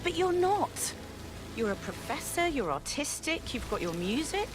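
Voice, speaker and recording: A frank, lively wealthy sister. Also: female voice, high-pitched, a little slow, over music. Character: blunt sister in a wealthy family.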